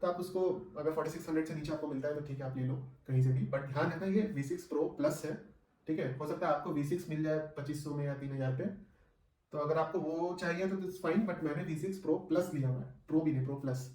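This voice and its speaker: A man talks through a product review in a casual, conversational way. His voice sits a little higher than average. He is some way from the microphone in a clearly echoey room, and he drops English phrases and short check-in questions into his talk. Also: fast, a little mellow, far from the mic. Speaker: vlogger reviewing gear.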